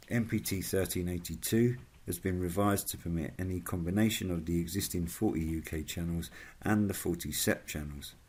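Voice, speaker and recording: A man narrates a scripted informational video in a very low register. His voice is husky and a little rough. He reads out regulations formally, as a presenter working through set questions and answers. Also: a little mellow.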